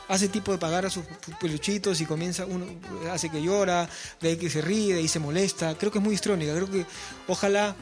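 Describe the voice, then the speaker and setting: A man's voice: high voice, fairly even intonation, brisk, soft background music. A musician in his forties, a guest chatting earnestly in an interview, often repeating words. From Peru. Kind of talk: casual interview chat.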